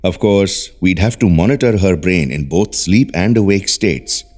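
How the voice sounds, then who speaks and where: Low-voiced, soothing research doctor. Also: male voice, expressive, a little mellow. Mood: earnest, persuasive. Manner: measured, polite, soothing. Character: calm, persuasive male research doctor, reassuring and probing. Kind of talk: audio drama acting.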